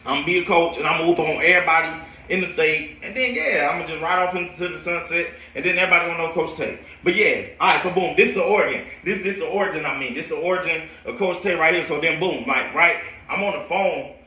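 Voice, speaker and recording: Bright-voiced young American man, echoey and distant. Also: a little high, quick pace. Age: late 20s. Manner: slangy, rambling, repeats phrases, acts out quotes. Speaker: football coach telling his own stories to viewers. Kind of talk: casual storytelling livestream. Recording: roomy echo, distant from mic.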